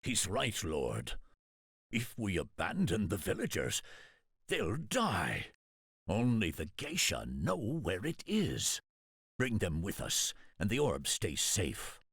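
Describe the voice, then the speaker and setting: Deep, husky, solemn samurai advisor. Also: male voice, huge pitch swings, slow pace, fairly bright tone, no echo, studio quality, exaggerated voice. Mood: earnest counsel. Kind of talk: video game cutscene acting.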